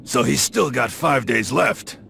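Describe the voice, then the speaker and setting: Very gravelly, hot-tempered brawler. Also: male voice, medium pitch, expressive, measured pace, pushed and bright, slight background noise, exaggerated voice. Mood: incredulous. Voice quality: gravelly, creaky. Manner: brash, slangy, blunt. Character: hot-tempered brash brawler man, impatient and scornful. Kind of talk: dramatic video game cutscene acting.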